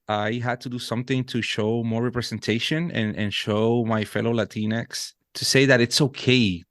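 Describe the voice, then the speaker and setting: A man's voice: mid-range voice, fairly bright tone, no room sound, studio quality. A man in his thirties from Puerto Rico with a Puerto Rican accent, a native Spanish speaker, chatting earnestly as a podcast guest with many restarts. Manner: earnest, frequent restarts and fillers. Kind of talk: casual interview chat.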